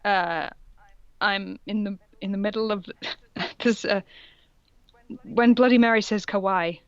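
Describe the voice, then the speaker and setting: A woman's voice: mid-range voice, measured pace, smooth, dry recording, lo-fi sound. A woman co-hosting a casual reaction show, reading fan fiction aloud and adding playful, mocking commentary. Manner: playful, mocking, puts on voices for quoted dialogue.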